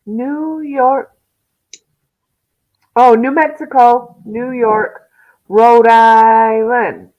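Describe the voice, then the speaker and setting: Woman with a slightly high voice, takes her time listing stuff off, muffled sound. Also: steady tone. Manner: unhurried, recites lists from memory. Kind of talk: casual chat.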